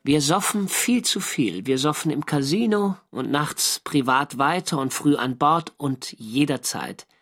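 An actor playing a German navy skipper who narrates his First World War diary in a measured, dry, literary way for a radio drama. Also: a little high, lively intonation, fairly bright tone, faint music behind, exaggerated voice. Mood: wry.

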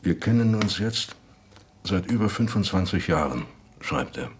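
A man's voice: low voice, a little slow, slight background noise. An actor playing a man solemnly reading a letter aloud in a radio drama. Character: old friend solemnly reading a last letter.

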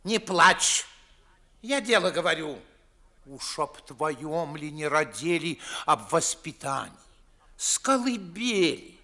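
A man's voice: fairly high pitch, sing-song, slow, pushed and bright, full character voice. An older man playing a pompous, grumbling widowed father and official in a 1950s theatrical radio play, scolding in declamatory verse. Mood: aggrieved lecturing.

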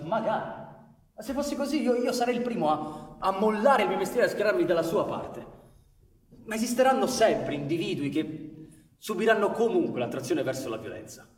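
A man's voice: a little high, close to an everyday voice. A man playing an earnest, courteous police commissioner in radio theatre, speaking formally, articulately and persuasively. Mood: reflective, earnest. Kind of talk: Italian radio theatre acting. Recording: echoey hall with long reverb.

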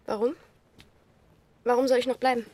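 Actress playing a high-voiced, wary teenage girl. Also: level tone, moderate pace, darkish tone, lightly acted. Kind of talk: radio drama acting.

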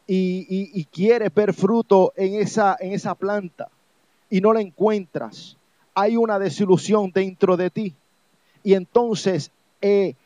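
A man's voice: high-pitched, mellow, no echo. A man with a Puerto Rican accent preaching a sermon as an evangelist, exhorting listeners with insistent, repeated phrases. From Puerto Rico. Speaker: evangelist preacher on a religious program. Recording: dull, lo-fi, dry sound.

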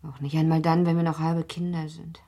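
An actress playing a girlish yet guarded young wife of eighteen in a radio play, with a husky, breathy voice. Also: low voice, little pitch movement, fairly quick, warm tone. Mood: cool, dismissive. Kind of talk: German radio play acting.

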